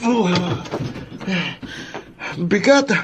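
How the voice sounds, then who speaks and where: A woman's voice: on the high side, animated, drawn-out words, noisy background. A woman with a Filipino accent playing a tender, devoted younger sibling in a radio drama. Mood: tired, complaining.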